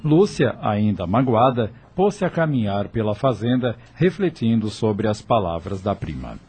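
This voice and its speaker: A man's voice: lowish voice, very animated, a little slow, a little mellow, faint music behind. A man with a Brazilian accent giving scripted dramatic narration for a radio serial.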